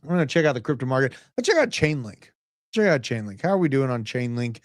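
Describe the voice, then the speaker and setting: A man in his thirties hosting a livestream, giving brash, animated market commentary and chatting with live viewers. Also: medium pitch, quick pace, no echo, studio quality. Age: 30s. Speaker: crypto livestream host.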